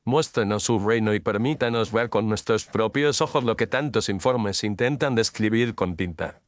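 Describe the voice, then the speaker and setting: A man playing a courteous, precise Victorian gentleman detective in an audio story, with formal, measured phrasing. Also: fairly low pitch, no echo, lightly acted. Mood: courteous, assured. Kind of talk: audio story character dialogue.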